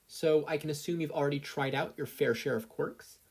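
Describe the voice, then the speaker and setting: A podfic reader voicing a brisk, confident woman who is a pro-hero mentor. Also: medium pitch, darkish tone, husky, pitched above the performer's own voice.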